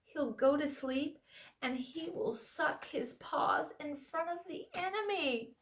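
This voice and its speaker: A blunt, impatient dwarf with a scolding edge, pitched well above a plain voice, as a woman puts him on. He grumbles more than he talks. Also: takes it slowly, fairly bright tone, recorded from a distance. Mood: grumbling.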